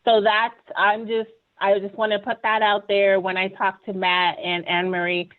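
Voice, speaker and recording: A woman speaks in an earnest, conversational way, often tagging her points with "right?". Her voice sits at an ordinary middle pitch. It comes through narrow, tinny video call audio. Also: little pitch movement, normal speed. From Ghana. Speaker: webinar panelist. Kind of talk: conversational presentation.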